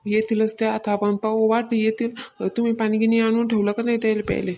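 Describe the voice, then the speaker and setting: A woman's voice: on the high side, monotone, fast, warm tone, natural voice. A woman playing a bossy, hardworking farmer's wife in a comedy cartoon, plain-spoken and chiding, with a rural Maharashtra Marathi accent. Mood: businesslike.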